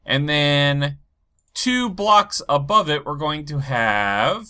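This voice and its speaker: A man with a head cold, stuffy and a bit low energy, rambling as he talks through his game and thinks aloud. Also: very animated, slow pace, sharp tone, clean tone. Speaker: Minecraft let's play host. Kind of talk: casual gameplay commentary.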